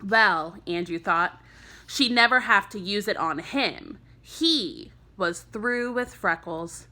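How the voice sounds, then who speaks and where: A woman reads a children's story aloud as a teacher would for a young class. Her voice is bright and mid-pitched, with very animated, expressive intonation. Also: slow. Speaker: teacher reading aloud to first graders.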